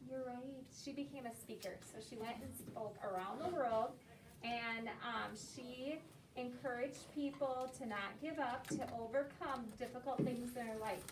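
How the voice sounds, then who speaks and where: High-voiced woman teacher in an echoey room. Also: unhurried. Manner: encouraging, simple explanations for children. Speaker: elementary school teacher. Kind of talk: interactive read-aloud discussion with children. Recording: echoey, distant mic, noisy with clicks.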